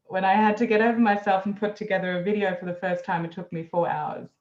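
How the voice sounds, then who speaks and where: A woman's voice: medium pitch, flat delivery, fast, a little mellow. A woman hosting an interview in a friendly, easygoing way, laughing often and recapping her guest's points. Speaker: interviewer host. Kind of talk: casual interview chat. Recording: roomy, dull lo-fi sound.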